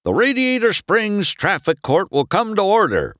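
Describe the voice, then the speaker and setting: Guy doing a stern sheriff car for a storybook, raised pitch, official, barking, dry sound. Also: expressive, takes it slowly, fairly bright tone, no echo. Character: stern male sheriff car, small-town lawman calling court to order.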